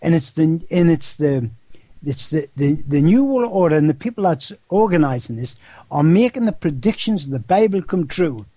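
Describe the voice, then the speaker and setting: A man's voice: on the high side, lively intonation, moderate pace, mellow, no room sound. Geordie man, rambling and insistent. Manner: rambling, repeats words, asks rhetorical questions. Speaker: interview guest. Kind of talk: casual phone-style interview talk.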